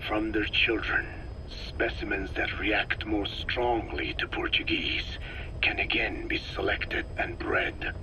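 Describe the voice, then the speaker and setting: Game role: grave old male scientist, lowish whispery rasp, slow and instructive, clearly noisy tape recording. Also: steady tone. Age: elderly. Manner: slow, measured, solemn explaining. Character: grave, learned old male scientist explaining his parasites. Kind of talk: video game voice acting, recorded briefing. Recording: noisy cassette tape briefing.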